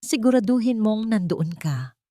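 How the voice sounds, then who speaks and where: A narrator puts on an angry schemer in an audio romance drama, a woman plotting over the phone. Her voice rises high, urgent and conspiratorial, in a dry recording. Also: lively intonation, normal speed, warm tone, dry recording, natural voice.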